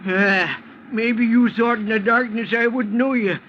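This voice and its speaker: A man's voice: very high voice, steady tone, a little slow. An actor playing an angry, frightened old man in a vintage radio drama, strained and accusing. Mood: frantic, accusing. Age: older. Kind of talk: 1950s radio drama acting. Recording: dull vintage radio recording, light noise.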